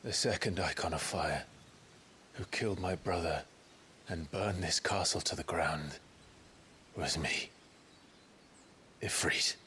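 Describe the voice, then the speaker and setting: Very deep, brooding young warrior. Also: male voice, pushed and bright, slight background noise, exaggerated voice. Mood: remorseful, resolute. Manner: solemn, brooding, deliberate. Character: grim, remorseful young warrior with hidden fire power. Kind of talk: dramatic video game cutscene acting.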